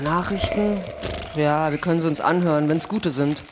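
A woman's voice: low-pitched, normal speed, lightly acted. Woman playing a grumbling astronaut in a noisy hall. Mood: dry, irritated. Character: Mills, weary, grumbling astronaut on the moon. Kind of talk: staged radio-play reading. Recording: reverberant hall with loud noise and clicks.

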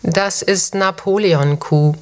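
A woman's voice: lowish voice, takes it slowly. A woman speaking a short sample phrase for a recording.

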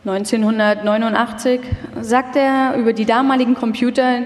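A woman narrates a documentary from a script, her voice a little on the high side. She is heard in a large hall with long reverb. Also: level tone, normal speed. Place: large hall, live performance.

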